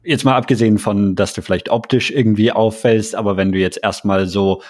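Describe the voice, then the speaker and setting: A male podcast host with a somewhat low voice asks long, winding questions. He repeats words and fills gaps with ähm as he gathers his thoughts. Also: steady tone, fairly quick, a little mellow. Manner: hesitant, repeats words, many fillers. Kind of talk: casual interview chat.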